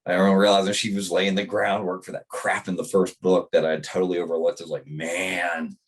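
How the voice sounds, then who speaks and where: A man with a very deep bass voice, chatting casually as a podcast guest and often restarting his thoughts. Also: level tone, brisk, breathy edge. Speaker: guest author.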